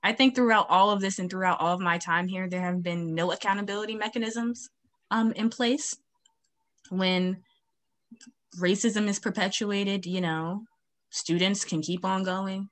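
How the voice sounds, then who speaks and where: A woman's voice: medium pitch, fairly even intonation, measured pace, a bit bright. A young woman student activist speaking earnestly on a video call, with many fillers. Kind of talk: town hall video call. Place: home, virtual beach background.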